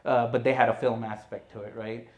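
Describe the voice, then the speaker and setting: A man, a filmmaker guest on a podcast, telling his story in a relaxed way full of "you know" and "right". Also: medium pitch, a little fast, reverberant. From India. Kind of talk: conversational podcast interview.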